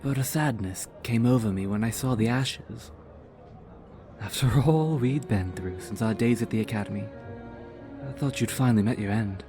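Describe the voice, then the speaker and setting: A man's voice: fairly low pitch, normal speed, dark tone, faint music behind, pitched above the performer's own voice, lightly acted. A man voicing a loyal, good-humored male warrior speaking earnestly to an old friend.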